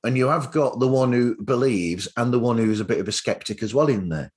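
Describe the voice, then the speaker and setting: A man's voice: medium pitch, a little fast, slightly rough. A man hosting a casual interview, rambling a little as he circles back through his thoughts. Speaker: podcast host.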